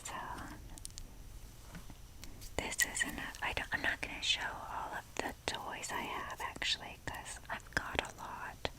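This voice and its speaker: A woman speaking in a soft breathy whisper, rambling casually and hesitantly with wry, self-deprecating humour in an ASMR show-and-tell style. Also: a little slow, poor audio. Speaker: ASMR video creator showing a toy collection.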